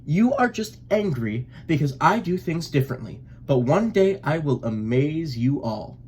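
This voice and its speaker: A man's voice: fairly high pitch, sing-song, a little slow, darkish tone, slightly rough, exaggerated voice. A man voicing a cheerful, plucky little boy duckling in a storybook, with a breezy, theatrical manner. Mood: defiant.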